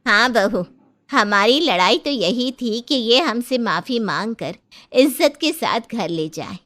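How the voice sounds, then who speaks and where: A woman's voice: on the high side, expressive, slow, fairly bright tone. A woman voicing an elderly, plain-spoken village mother-in-law in a cartoon story. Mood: coaxing. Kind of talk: cartoon moral story dialogue.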